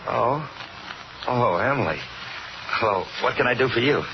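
Animated bandleader in old radio drama. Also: male voice, medium pitch, unhurried, background noise. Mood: kindly. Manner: colloquial, folksy. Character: anxious male bandleader and clarinetist recounting his trouble. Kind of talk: 1950s radio drama acting and narration. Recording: dull old radio recording, music behind.

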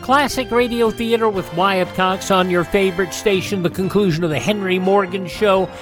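A man's voice: high-pitched, normal speed. A man hosting a radio show, announcing and reading ads in a host's style. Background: music.

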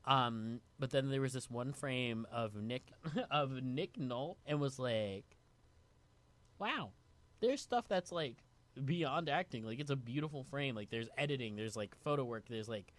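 A man co-hosting a relaxed podcast, born in Venezuela. He reads out background notes in a loose, chatty style full of fillers. His speech wanders and he drifts into asides. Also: mid-range voice, measured pace. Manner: casual, filler-heavy, reads bio notes aloud. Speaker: podcast co-host. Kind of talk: casual chat.

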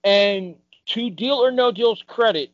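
Higher-voiced man, emphatic panel debater. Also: measured pace, dry recording. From United States. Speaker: podcast panelist. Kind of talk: opinionated panel discussion. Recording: dull, lo-fi close sound.

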